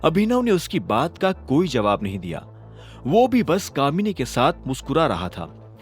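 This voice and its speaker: A man's voice: fairly high pitch, huge pitch swings, a little slow, soft background music. A man reading scripted audio drama narration in a dramatic storytelling manner.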